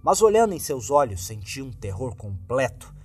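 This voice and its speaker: Male horror narrator, Brazilian accent, middle-pitched voice, grave dramatic scripted read with music underneath. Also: big pitch swings, moderate pace, a little mellow, slightly rough. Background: background music.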